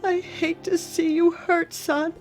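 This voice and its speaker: A woman's voice: very high voice, flat delivery, slow pace, vocal fry, faint music behind, exaggerated voice. An actress playing an anxious, smothering older widowed mother in a radio drama, fretful, tearful and nagging, with self-pity. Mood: tearful reproach.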